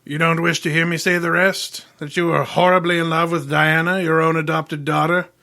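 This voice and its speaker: A man's voice: on the high side, level tone, moderate pace, bright, forceful tone. A man playing a pompous, erudite, gluttonous genius detective in a grandiloquent, theatrical old-time radio style. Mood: accusing, triumphant.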